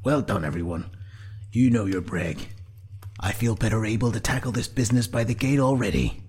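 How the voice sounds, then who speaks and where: A man voicing a gruff, hearty male chieftain who talks in a blunt, barking, patronizing way in an audiobook story. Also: on the low side, fairly quick, a little mellow.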